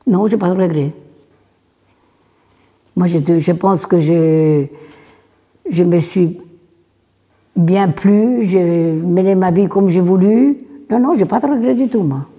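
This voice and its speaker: A woman's voice: on the low side, slow, pauses often, dark tone, breathy edge, long reverb tail. An older woman born in Italy, interviewed for a documentary, plain-spoken and often adding "hein". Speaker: interviewee, immigrant.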